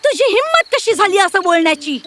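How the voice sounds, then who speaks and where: A woman's voice: very high voice, sing-song, a little fast, music in the background, pitched above the performer's own voice. A woman voicing a haughty, scolding young attendant of a princess in a fairy-tale cartoon. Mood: indignant, demanding.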